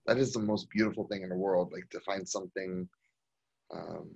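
A middle-aged man interviewing a guest over a video call from his home office, speaking haltingly with many fillers and restarts. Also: deep voice, fairly even intonation, gravelly, darkish tone, husky, lo-fi sound. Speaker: magazine interviewer. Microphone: video call mic.

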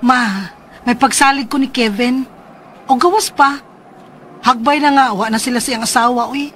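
A woman's voice: high-pitched, moderate pace, a bit bright, a little hiss or hum. An actress playing a firm, worried thirty-two-year-old mother in a Cebuano radio drama. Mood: defensive.

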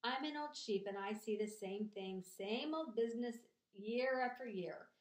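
A woman's voice: on the high side, measured pace, close to an everyday voice. Woman as blunt old gossiping ewe, echoey room. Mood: blunt, grim. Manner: matter-of-fact, snappish. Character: old female sheep, blunt, cynical, gossipy farm animal.

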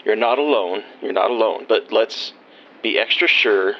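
A man playing a reassuring small-town doctor in an audio drama, gently repeating himself. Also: fairly low pitch, normal speed, dull audio, slight background noise, close to an everyday voice. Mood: soothing, concerned. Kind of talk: naturalistic audio drama acting.

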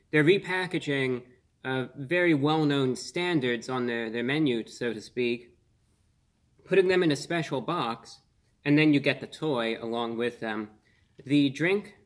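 A man in his twenties hosting a casual food review on camera, speaking in an earnest, measured way with slightly formal phrasing. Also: a little high. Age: 20s. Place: small indoor room.

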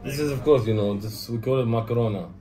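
Local man guiding in halting English. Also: medium pitch, crowd noise. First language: Arabic. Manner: halting English with fillers, jokey. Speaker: local guide. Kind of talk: casual chat.

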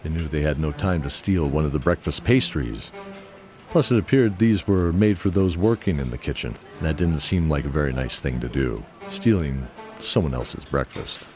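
A man's voice: rumbling low, animated, normal speed, a little mellow. A man giving scripted narration for a fantasy audio drama. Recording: music under the voice. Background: music.

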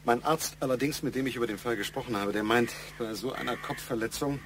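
A man's voice: medium pitch, fast talker, lightly acted. Insistent middle-aged male boss, played by an actor, pointed and probing, radio crime drama, noisy background. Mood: probing, matter-of-fact. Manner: pointed, probing, matter-of-fact.

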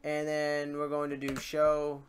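A casual host records a software tutorial in a mid-range voice. The talk is informal, with muttered asides and self-corrections along the way. Faint noise, typing and mouse clicks sit behind the voice. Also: medium pitch, monotone, a little slow. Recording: noisy room, keyboard typing and clicks. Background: keyboard typing, mouse clicks, background noise.

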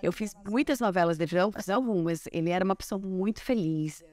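A woman in her forties, an actress from Brazil, telling stories in a relaxed chat on a sofa in a bright living room. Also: mid-range voice, fast talker. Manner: chatty, animated storytelling with hand gestures. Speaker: actress and guest. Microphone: small clip-on mic on collar.

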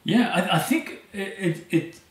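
A man in his thirties from Iceland, a native Icelandic speaker, telling stories as an interview guest with many pauses and fillers. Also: high-pitched, sing-song, reverberant. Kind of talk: conversational storytelling. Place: dim indoor room, seated in armchair.

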